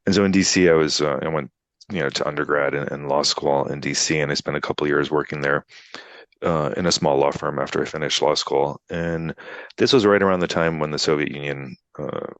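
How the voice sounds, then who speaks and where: American man in his fifties, very low voice, chatty and meandering, interview guest on a video call, dry close audio. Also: steady tone, brisk, dry recording. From United States. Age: 50s. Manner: rambling, filler-heavy, frequent "you know" and "right?". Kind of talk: casual storytelling interview. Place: Poland, remote interview.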